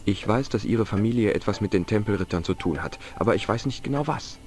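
A young American investigator from an adventure game, courteous and curious with a dry wit. His voice sits fairly low, and soft music plays behind it. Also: expressive, quick pace. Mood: curious, polite. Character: polite, curious, wry young American man investigating mysteries. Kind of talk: video game dialogue and wry narration.

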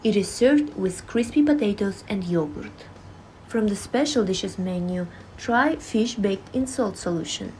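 A woman narrates a feature report from a prepared script at a middling pitch. There is faint noise and ambience behind her voice. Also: animated, a little slow, darkish tone. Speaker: news video narrator.

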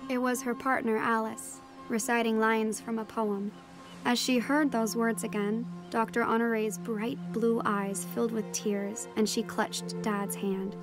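A woman's voice: fairly high pitch, little pitch movement, unhurried, music in the background. A young woman playing an earnest teenage daughter, narrating a first-person audio drama in a reflective way. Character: teenage daughter of a marine biologist, earnest storyteller. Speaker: narrator and lead character.

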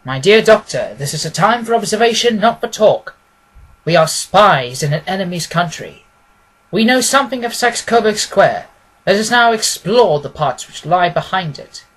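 A man's voice: high-pitched, very animated, moderate pace, a bit bright, exaggerated voice, rough recording. A man voicing a keen, confident male detective in an audiobook, with an emphatic, decisive way of talking. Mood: brisk, commanding. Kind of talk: audiobook character dialogue.

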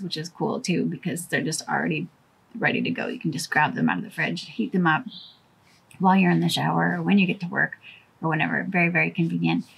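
A woman's voice: fairly low pitch, gravelly, slightly rough, low-quality recording. A middle-aged woman chatting casually as a cooking vlogger, friendly and prone to wandering off topic, talking to camera outdoors by a brick wall. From Canada.

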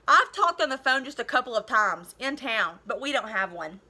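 Woman as an earnest, high-voiced teenage boy. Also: sing-song, normal speed, pushed and bright, heavily acted. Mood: blankly earnest. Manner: earnest, literal, defensive. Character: earnest, sheltered thirteen-year-old homeschooled farm boy.

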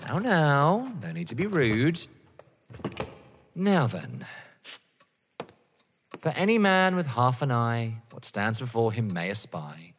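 A male actor voices a taunting young man in a radio-style drama. He savours each word with theatrical mockery, his pitch swinging dramatically. The room around him rings with long reverb. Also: huge pitch swings, takes it slowly, pauses often, fairly bright tone, slight background noise, full character voice. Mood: mocking. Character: taunting, vengeful young man playing a deadly game. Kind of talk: audio drama acting.

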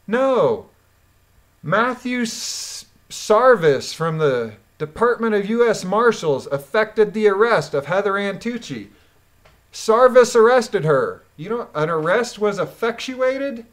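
A woman gives critical commentary as she reads through a document. She speaks bluntly and with emphasis, sounding indignant about what she is presenting. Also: mid-range voice. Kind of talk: reading a court transcript aloud with critical commentary.

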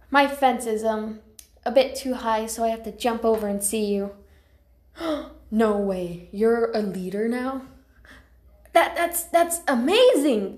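Woman as a high-pitched, friendly house cat, echoey room. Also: darkish tone. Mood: casual, resigned, then excited, admiring. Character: friendly young female house cat, wistful and excitable. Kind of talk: amateur character voice lines, acted.

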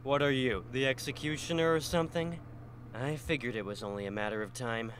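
A man's voice: mid-range voice, a little fast, fairly bright tone, lightly acted. An actor playing a weary, defiant male wolf prisoner in a fantasy game, with a sarcastic manner. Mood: sardonic.

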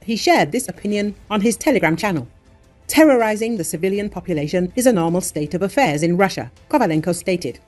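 A woman reading scripted news in a measured, formal voice-over. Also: medium pitch, lively intonation, darkish tone, faint music behind. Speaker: news narrator.

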